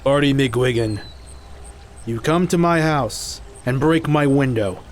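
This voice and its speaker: A man playing a proud, unyielding, reclusive martial artist in an audio drama, speaking in a stern, blunt, deliberate way. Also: medium pitch, slight background noise, close to an everyday voice. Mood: scornful.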